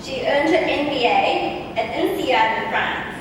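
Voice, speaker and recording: High-voiced woman in an echoey hall. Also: animated, a little slow. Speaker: award presenter. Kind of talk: formal prepared speech. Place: large banquet hall. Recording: echoey banquet hall from far off, noisy.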